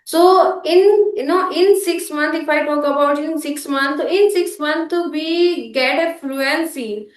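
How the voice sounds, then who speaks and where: A woman's voice: sits high, slow, hardly any pauses. A woman from India with an Indian English accent, speaking in an English practice conversation, hesitant with many fillers and restarts. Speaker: English learner guest. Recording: echoey room.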